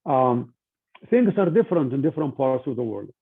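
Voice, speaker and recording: An older man from Egypt giving an informal talk to students, with halting speech and frequent restarts over a tinny video-call line. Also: mid-range voice, big pitch swings, gravelly, slightly rough. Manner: halting, many restarts and fillers. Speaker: guest speaker.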